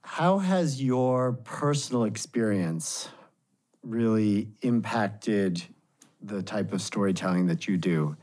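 Podcast host, mid-range voice, steady and measured, asks questions calmly. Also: medium pitch. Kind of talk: podcast interview.

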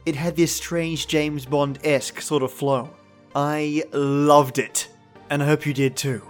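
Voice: medium pitch, little pitch movement, measured pace, soft background music. A podcast host speaking casually to listeners in an outro.

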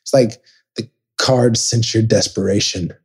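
A man's voice: on the low side, fairly even intonation, unhurried, breathy edge. A young man from Texas, a podcast guest chatting casually and telling rambling stories with acted-out quotes. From Dallas, Texas. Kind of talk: casual chat.

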